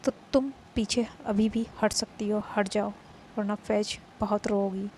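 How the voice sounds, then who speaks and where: A woman voicing a jealous young woman rival, making loud, defiant threats. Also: medium pitch, steady tone, measured pace, a little hiss or hum, natural voice.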